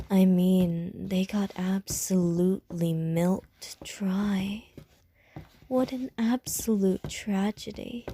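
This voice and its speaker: A woman's voice: lowish voice, dark tone, slight background noise. A woman voicing a flirtatious, predatory female vampire in an ASMR roleplay, teasing in sly, often whispered innuendo.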